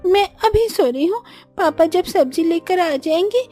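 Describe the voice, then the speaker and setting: Stubborn little cartoon girl, whiny, very high-pitched adult woman performing. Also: animated, normal speed, dark tone, faint music behind. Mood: whiny, hungry. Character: little hungry daughter Pinky, whiny and stubborn. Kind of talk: Hindi cartoon story acting.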